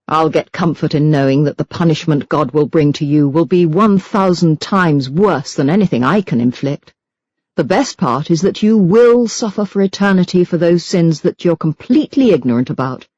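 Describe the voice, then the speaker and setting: A woman's voice: deep voice, lively intonation, moderate pace, darkish tone, dry recording, lo-fi sound. A woman reading as a venomous, self-righteous religious hate-mail writer in an audiobook, scornful and emphatic. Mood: scornful, threatening. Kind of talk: dramatised audiobook reading of letters.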